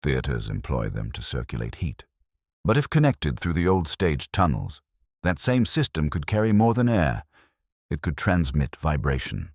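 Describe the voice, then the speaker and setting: A man voicing a grave, incisive gentleman detective in an audiobook, deliberate and commanding. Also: extremely low pitch, sing-song, dry recording, studio quality, exaggerated voice. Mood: explanatory. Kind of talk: audiobook character acting.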